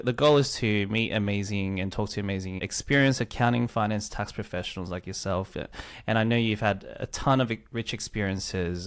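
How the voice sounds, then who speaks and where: A man hosting a podcast, reading the guest's introduction and then chatting upbeat with him. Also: on the low side. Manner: upbeat, warm praise of guest. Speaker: podcast host. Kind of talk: scripted intro, then casual interview.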